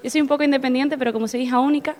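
A woman's voice: sits high, fairly even intonation, rapid-fire, a little mellow. A young woman, answering interview questions in a friendly, slightly hesitant way. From Spain. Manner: friendly, a bit hesitant, repeats words. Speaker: exchange student guest.

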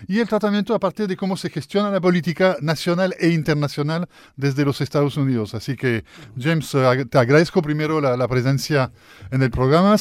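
A man hosting a radio interview, introducing topics with halting restarts. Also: on the high side, no echo, studio quality. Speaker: radio host.

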